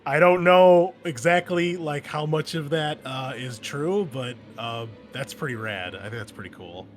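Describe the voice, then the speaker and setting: A man's voice: a little high, measured pace, faint music behind. A man speaking as game master and host, chatting casually with enthusiasm and slang. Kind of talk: casual table chat and game recap. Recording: noticeable background noise.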